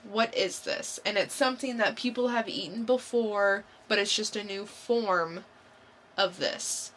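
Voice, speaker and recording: A woman's voice: medium pitch, a little slow, fairly bright tone, a little hiss or hum. A woman co-hosting a podcast, chatting casually and informally in a blunt way. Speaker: podcast co-host. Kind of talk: casual quiz banter.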